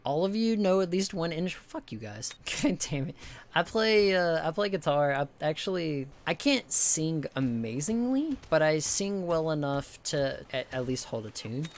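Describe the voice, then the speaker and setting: A young man from the with a higher-pitched voice, chatting casually with his stream viewers, rambling, swearing a lot and restarting often. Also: big pitch swings, measured pace. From American South. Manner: rambling, heavy swearing, many restarts and fillers.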